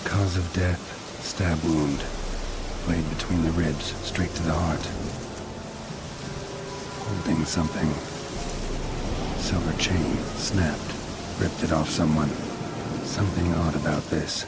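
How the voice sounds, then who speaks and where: An actor playing a gruff, world-weary monster hunter in a video game, terse and deadpan with a gravelly voice. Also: male voice, slow pace, pauses often, very noisy, exaggerated voice. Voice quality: low, gravelly. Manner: terse, deadpan, clipped sentences. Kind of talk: video game character acting.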